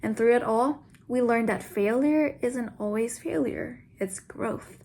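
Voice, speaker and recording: High-voiced young woman giving a speech. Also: a little slow, vocal fry, darkish tone. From Vietnam. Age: around 20. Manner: earnest, formal. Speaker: student speaker. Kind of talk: prepared speech.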